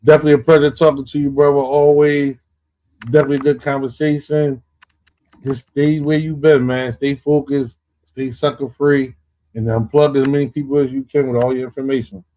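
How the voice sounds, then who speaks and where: Guest, male, mid-pitched, emphatic and repetitive. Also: level tone, brisk, mellow, poor audio. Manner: emphatic, repeats points for stress. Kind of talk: casual chat.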